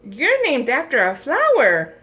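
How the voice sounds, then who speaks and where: A woman's voice: sits high, wildly swooping pitch, a little slow, a bit bright, voice pushed up, full character voice. A woman voicing a smug, know-it-all little schoolgirl from a storybook, in a pointed, emphatic way. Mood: smug teasing.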